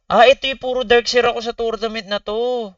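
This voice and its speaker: Man, Filipino accent, bright forceful voice, slangy casual streamer, sweary storytelling, dull lo-fi sound. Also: very high voice, brisk, no room sound, far from the mic. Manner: animated, slangy, swearing, talking to viewers. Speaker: gaming streamer. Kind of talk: casual storytelling livestream chat.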